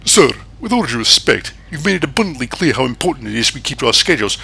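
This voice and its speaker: A man's voice: mid-range voice, big pitch swings, fast, gravelly, bright, forceful tone, husky, pitched above the performer's own voice, exaggerated voice. A man voicing a nervous young male engine who stammers apologies to his boss. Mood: sheepish. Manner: hesitant and apologetic.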